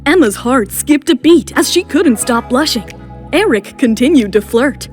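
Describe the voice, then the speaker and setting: A woman's voice: high-pitched, expressive, a little slow. A woman reading dramatic scripted narration as the narrator of an audio drama. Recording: music behind the voice.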